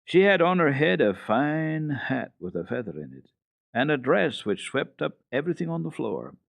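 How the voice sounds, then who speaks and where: A man's voice: fairly high pitch, sing-song, moderate pace, vocal fry, no echo. A man narrating classic children's literature as a dramatic radio storyteller.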